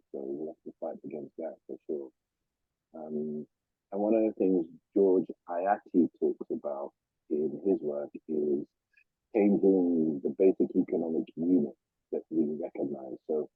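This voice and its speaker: A man's voice: a bass voice, slightly rough, no room sound. A man student adding thoughtful points to a class discussion, hesitant with fillers. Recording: muffled phone-like line.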